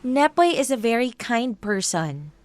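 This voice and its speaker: A woman's voice: a little high, very animated, measured pace. A woman playing a firm, loyal young girlfriend with a Filipino accent in a radio drama. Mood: defensive.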